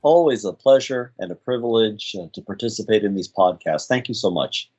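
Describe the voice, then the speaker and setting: A middle-aged man with a slight American accent, a nurse practitioner guest telling stories in a relaxed interview with frequent "uh" fillers. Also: on the low side, a little fast, breathy edge, highs cut off, soft background music. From United States. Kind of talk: conversational interview storytelling.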